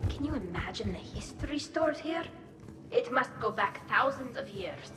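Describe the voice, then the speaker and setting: A woman playing a playful, bubbly young squadmate in a video game, teasing and excitable. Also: fairly high pitch, normal speed, over loud music, close to an everyday voice. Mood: awed.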